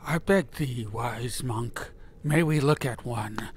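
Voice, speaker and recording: A man voicing a curious, eager male traveler with a breathy voice. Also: medium pitch, slow pace.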